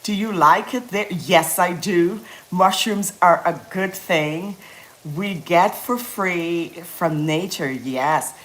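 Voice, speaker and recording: A woman's voice: fairly low pitch, slow pace, echoey room. A woman, chatting casually with viewers as she cooks on a livestream, laughing easily. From Guyana. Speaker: livestream cooking host. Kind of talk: casual livestream cooking chat.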